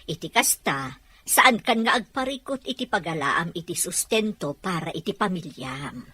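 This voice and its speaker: A woman's voice: lowish voice, lively intonation, normal speed, pushed and bright, breathy edge, full character voice. A woman playing a caring, welcoming older-sister figure in an Ilocano audio drama. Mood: concerned, caring. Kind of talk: Filipino radio-drama dialogue in Ilocano.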